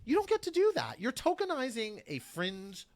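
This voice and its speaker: A man's voice: very high voice, wildly swooping pitch, moderate pace. A man speaking as a guest on a radio talk show, arguing passionately and repeating phrases for emphasis.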